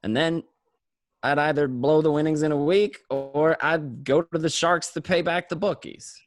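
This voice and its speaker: A man playing a weary mobster turned informant, telling his story in a conversational, streetwise, halting way. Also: on the high side, fairly even intonation, dry recording, soft background music, lightly acted. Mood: wistful, boastful. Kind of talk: first-person crime narration, acted table read.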